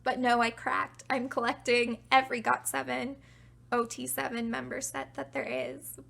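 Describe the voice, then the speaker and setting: A young woman casually talking through her collection, chatty and self-deprecating, her voice getting raspy from talking a while. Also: high-pitched, level tone, normal speed, fairly bright tone. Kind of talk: casual show-and-tell narration.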